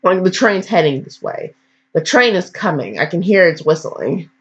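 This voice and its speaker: A woman's voice: on the low side, expressive, normal speed, breathy edge. A woman casually reading fan fiction aloud with slangy, blunt, digressive commentary and mock scolding. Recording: dull, lo-fi home recording.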